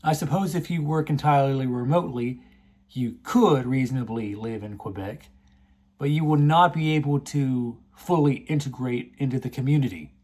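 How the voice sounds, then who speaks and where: A man's voice: mid-range voice, moderate pace, a little mellow. A man delivering a scripted, earnest commentary, indignant about the issue he is laying out. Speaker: video essay host.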